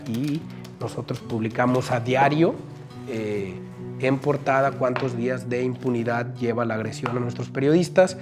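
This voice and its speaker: A Mexican man speaks in a mid-range voice over clearly audible guitar music. He explains in an unscripted, measured interview style, laying out his account point by point and tagging it with short questioning checks. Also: mid-range voice. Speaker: news organization director giving testimony.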